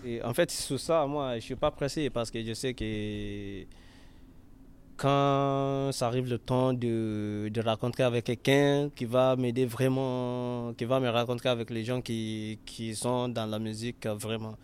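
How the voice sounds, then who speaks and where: A man's voice: medium pitch, fairly even intonation, unhurried, darkish tone, clear, resonant tone. A young man from western Nigeria whose first language is Yoruba, talking in an interview with many fillers and restarts. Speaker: interviewee, artist and freestyle rapper. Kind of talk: casual interview in learner French.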